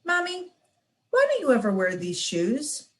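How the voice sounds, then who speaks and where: An eager, stubborn little girl from a storybook, as a woman reader puts her on. Her voice climbs high and swings widely as she begs and insists. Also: huge pitch swings, measured pace, recorded from a distance, exaggerated voice.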